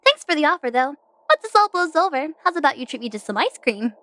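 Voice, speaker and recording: A woman playing a cocky, bouncy young psychopomp assassin in an audio drama, with a playful, excitable delivery. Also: sits high, fast talker, no room sound. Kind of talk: audio drama character acting.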